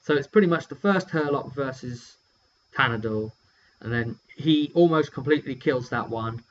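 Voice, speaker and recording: A man's voice: mid-range voice, normal speed. A British man casually recounting a tabletop game session as its game master, in a rambling, hesitant way. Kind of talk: casual vlog storytelling. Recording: dull lo-fi sound with faint hum.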